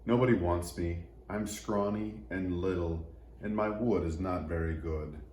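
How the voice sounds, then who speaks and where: A man's voice: sits low, unhurried, a little mellow. A man voicing a scrawny, wistful little tree in a children's storybook. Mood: downcast. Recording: roomy echo, faint noise.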